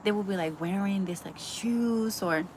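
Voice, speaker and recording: A woman's voice: medium pitch, moderate pace, darkish tone, a little hiss or hum, low-quality recording. A young woman from the Dominican Republic giving an earnest, casual devotional teaching, full of fillers and restarts. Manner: chatty, full of likes and ums, earnest. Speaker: Bible teacher.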